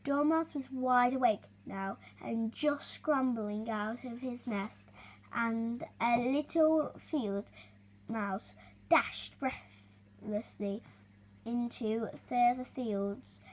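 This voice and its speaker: Child female reader, high voice, slow pace, expressive storybook delivery with halting stumbles. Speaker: young reader hosting a story video. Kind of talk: reading a children's storybook aloud, with chatty asides.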